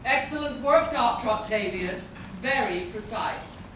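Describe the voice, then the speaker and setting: A woman's voice: a little high, lively intonation, measured pace. A woman playing an imperious, secretive schemer with a haughty, commanding manner in a live radio play. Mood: condescending. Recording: echoey hall from far off, noisy.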